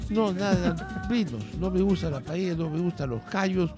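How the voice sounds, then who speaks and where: A man's voice: high voice, lively intonation, moderate pace, over loud music. A man playing a glum, complaining football fan. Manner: mock-mournful complaining, listing purchases. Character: dejected middle-aged male French football fan.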